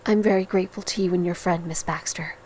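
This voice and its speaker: A woman playing an earnest, guilt-ridden, frightened young hero in a dark audio drama, hesitant and polite. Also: lowish voice, steady tone, fast, slight background noise, natural voice. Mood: earnest, uneasy.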